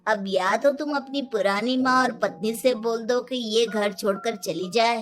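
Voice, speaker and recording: A woman's voice: a little high, unhurried, soft background music, natural voice. A woman voicing a helpful, confident young man, the hero's friend, in a children's cartoon. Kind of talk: Hindi children's cartoon dubbing.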